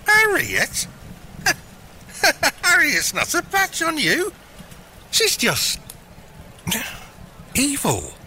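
A man's voice: very high voice, wildly swooping pitch, drawn-out words, long pauses, bright, forceful tone, a little hiss or hum, full character voice, poor audio. A man playing a gleeful, grandiose megalomaniac father, wheedling theatrically in an audio comedy drama. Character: gleeful megalomaniac villain, doting scheming father.

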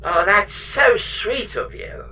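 A man playing an excitable, sentimental robot space pod who gushes and exclaims in a sci-fi comedy audio drama. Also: sits high, slow pace, recorded from a distance, soft background music, exaggerated voice, poor audio. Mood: delighted, touched. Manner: gushing, exclamatory.

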